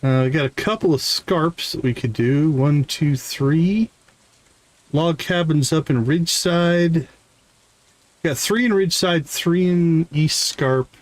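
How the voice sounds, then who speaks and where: A man's voice: a little high, takes it slowly, dry recording. A man hosting a game stream, casually explaining what is on screen and thinking aloud in an informal way. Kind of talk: casual live gameplay commentary, explaining as they play.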